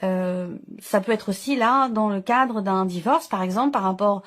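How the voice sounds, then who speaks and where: A woman's voice: mid-range voice, normal speed. A woman giving an improvised, intuitive tarot reading as host, feeling her way through phrases and restating ideas in lists. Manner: hesitant, searching phrasing with repeated enumerations. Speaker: tarot card reader and channel host.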